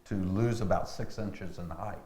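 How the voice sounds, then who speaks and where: Low-voiced man in a noisy, echoey room. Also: moderate pace, warm tone. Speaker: actor, interview guest. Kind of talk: casual interview chat. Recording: echoey room with clear background noise.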